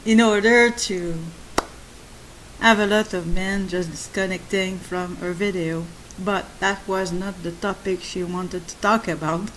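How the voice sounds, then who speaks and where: Slow, soft-spoken accented woman. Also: on the low side, steady tone, slight background noise, poor audio. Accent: non-native English. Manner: slow, halting, with many fillers and restarts. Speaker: channel host. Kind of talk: spoken monologue to subscribers.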